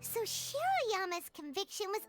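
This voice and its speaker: A woman voicing a tiny, curious, excitable girl companion in an anime-style video game, with a squeaky childlike voice. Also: measured pace, exaggerated voice. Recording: loud game music over the voice.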